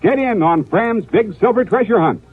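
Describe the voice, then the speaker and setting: A tinny old radio recording of a male announcer giving a 1950s commercial. His high voice swings with lively emphasis as he sells hard. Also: normal speed, breathy edge. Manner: emphatic, repetitive salesman delivery. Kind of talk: 1950s radio advertisement pitch.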